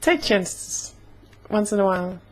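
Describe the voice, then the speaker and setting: A young woman talking casually in a personal vlog, slightly hoarse, with an earnest, halting way of speaking. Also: medium pitch, animated. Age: young adult. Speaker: vlogger.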